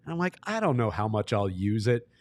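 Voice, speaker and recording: A man's voice: fairly low pitch, very animated, a little fast. A man who works as a hockey broadcaster, chatting casually as a guest and thinking aloud as he talks. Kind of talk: casual sports talk interview.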